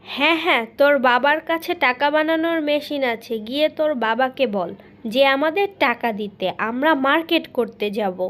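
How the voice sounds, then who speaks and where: A woman's voice: high-pitched, moderate pace, fairly bright tone. A woman with a Bangladeshi accent voicing an impatient cartoon mother, curt and sarcastic in a comic scolding style.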